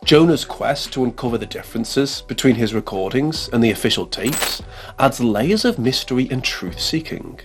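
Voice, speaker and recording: A man delivers scripted explainer narration in a medium-pitched voice. His reading is measured and formal, like a prepared essay. Music plays behind him. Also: expressive. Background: background music under voice-over.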